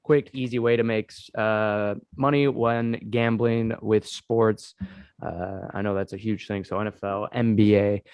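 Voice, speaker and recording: A man's voice: fairly low pitch, fairly even intonation, a little slow, darkish tone, clear, resonant tone, no echo, muffled recording. A man hosting a casual sports podcast, congested from a cold, rambling with lots of fillers as he talks to his co-host. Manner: rambling, many "uh" fillers, keeps addressing co-host.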